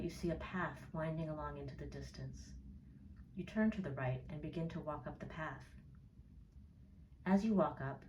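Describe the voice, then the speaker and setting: A woman narrating a guided meditation in a soothing, measured way, leaving gentle pauses. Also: low-pitched, a little hiss or hum. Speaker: therapist leading a guided meditation. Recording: roomy echo, distant from mic.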